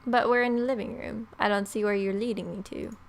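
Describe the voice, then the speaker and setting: A woman's voice: mid-range voice, fairly even intonation, brisk. A woman in her twenties reading visual novel text aloud with casual, joking asides, recorded at home. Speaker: gaming video creator. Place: small room at home, indoors.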